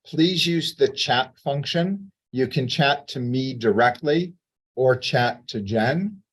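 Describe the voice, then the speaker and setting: A man as president and moderator, opening a virtual panel in a measured, welcoming way with some fillers, heard over a video call. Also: medium pitch, expressive.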